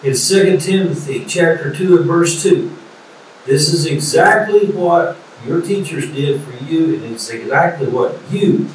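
An older man, a preacher, gives an earnest sermon with deliberate repetition over a video call. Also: a little high, lively intonation. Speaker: professor and preacher. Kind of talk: sermon, graduation address. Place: indoor study or office, video call. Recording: echoey video call, distant, some noise.

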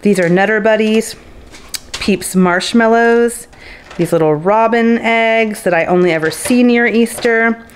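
A woman's voice: a little high, a little slow, slight background noise. A woman in her thirties chatting casually through a grocery haul vlog at home, upbeat and friendly, with little laughs and fillers. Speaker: vlogger. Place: home kitchen and living room.

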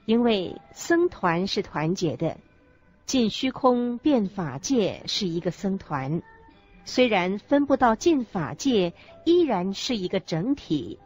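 A solemn Buddhist explainer speaks slowly and emphatically over soft music in a radio drama. Her intonation is lively, and the recording is slightly dull. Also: medium pitch. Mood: solemn, emphatic. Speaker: co-presenter in a radio lecture drama. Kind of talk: scripted radio drama dialogue, expository. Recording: dull lo-fi audio with background music.

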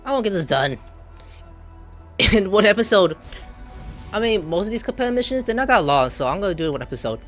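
Animated man doing gameplay commentary. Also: high voice, fast, pauses often. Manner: excitable, reactive, sweary. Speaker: gaming video host. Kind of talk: casual live gameplay commentary. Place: small room indoors at a computer. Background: faint game music.

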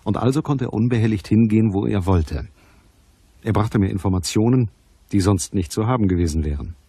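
Hard-boiled detective, radio play, lowish raspy voice, dry, matter-of-fact, commanding. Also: male voice, brisk, mellow. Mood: wry narration. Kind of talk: German crime radio play, dialogue and narration.

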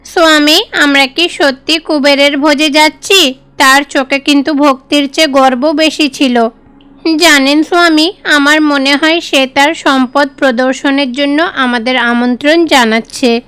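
Woman as a high-voiced worried little mouse. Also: fairly even intonation, a little slow, a bit bright. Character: small loyal mouse, worried mount of a god.

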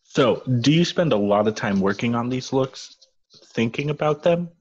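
A man's voice: mid-range voice, animated, moderate pace, slightly rough. A man playing a polite, curious young female journalist with tactful questions in an amateur comedy read. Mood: polite curiosity. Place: home, on a group video call. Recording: muffled video-call audio.

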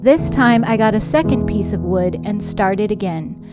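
A woman's voice: medium pitch, expressive, normal speed, music in the background. A woman reading a scripted how-to voice-over in a matter-of-fact, instructional way. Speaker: DIY tutorial narrator.

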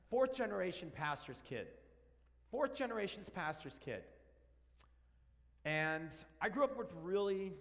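A man in his forties from Argentina, a native Spanish speaker, preaching an animated, joking sermon while walking around the stage. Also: fairly high pitch. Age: 40s. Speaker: pastor. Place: large church sanctuary. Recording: long church hall reverb.

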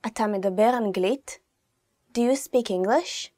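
A woman's voice: fairly high pitch, quick pace, mellow, dry recording, studio quality. A young woman with an Israeli accent, a native Hebrew speaker, teaching a language lesson informally to camera. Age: 20s. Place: indoor studio.

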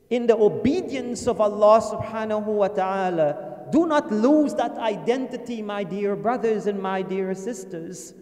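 An older man preaching in a mosque hall, his voice pitched fairly high yet mellow in tone. He speaks earnestly, repeating key phrases and slowing down to press them home, into a stand mic. The hall rings with a long, echoey reverb. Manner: earnest and emphatic, repeating phrases, slows for stress. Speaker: imam giving Friday sermon. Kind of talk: sermon. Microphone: stand mic at a lectern.

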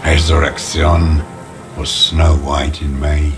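An actor playing a bitter, contemptuous old deserter in a video game, gravelly and muttering with scorn. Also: male voice, rumbling low, little pitch movement, slow pace, exaggerated voice. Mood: bitter, wistful. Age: older. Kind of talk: dramatic video game voice acting. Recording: music under the voice. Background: background music.

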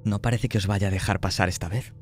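A man running a tabletop horror game as game master, laying out options in a measured way and checking in often. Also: lowish voice, fairly even intonation, soft background music.

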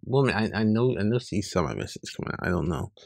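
A man reading webcomic dialogue aloud in an informal way, loosely acting out the lines, with a gravelly, husky voice. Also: on the low side, lively intonation, fast talker, no echo, studio quality. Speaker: online comic reader.

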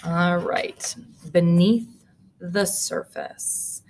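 Woman, middle-aged, teacher, slightly low pitch, read-aloud lesson, deliberate explanatory delivery. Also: noisy background. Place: small indoor room with photo-covered wall.

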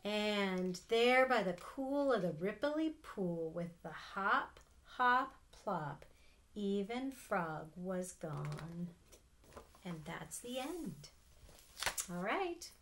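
A middle-aged woman reading a picture book aloud to children, expressive and sing-song, with casual asides. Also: medium pitch, extremely slow. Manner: expressive, sing-song, asking the listeners questions. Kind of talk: animated read-aloud storytelling with casual asides. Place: small room at home with sloped ceiling.

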